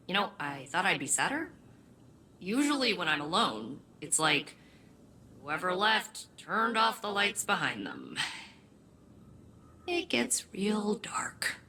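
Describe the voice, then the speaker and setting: A woman voicing a lonely but hopeful young character in a video game, halting and understated in her delivery. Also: low-pitched, sharp tone, a little hiss or hum, exaggerated voice.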